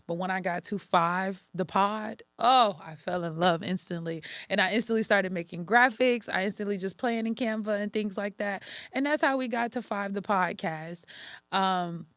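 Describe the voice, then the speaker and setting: A woman's voice: medium pitch, fairly bright tone, no echo, studio quality. A woman in her late twenties from Texas, hosting a solo podcast in a casual, upbeat, chatty way with frequent ums and restarts. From Texas, USA. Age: 20s. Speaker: podcast host.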